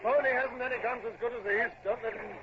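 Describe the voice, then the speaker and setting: A man's voice: very high voice, steady tone, fast talker. An actor playing a hearty naval man giving orders in an old radio drama. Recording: muffled, noisy vintage radio recording.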